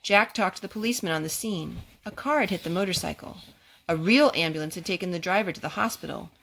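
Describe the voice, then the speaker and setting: A woman's voice: lowish voice, fast, gravelly, fairly bright tone. A woman reading aloud to young children at a storytime, speaking simply with asides to the little ones. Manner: simple, child-directed talk.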